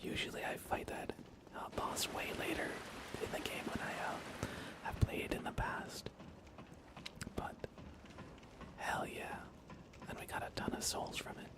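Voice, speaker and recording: A man whispers his way through live video game commentary in an ASMR style. His delivery stays hushed and soothing, with casual, unscripted phrasing throughout. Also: a little slow, pauses often. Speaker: game livestreamer.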